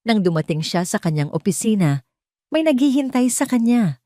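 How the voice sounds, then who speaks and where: A woman's voice: mid-range voice, very animated, normal speed, dark tone, no room sound, clean studio sound. A woman reading a scripted dramatic story as its narrator.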